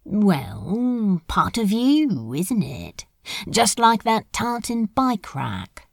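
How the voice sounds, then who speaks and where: A woman voicing a laid-back, teasing male demon with a soft sentimental side, drawling casually in a fan audio drama. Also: huge pitch swings, drawn-out words, no room sound, studio quality, exaggerated voice. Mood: sheepish. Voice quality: low, gravelly, husky.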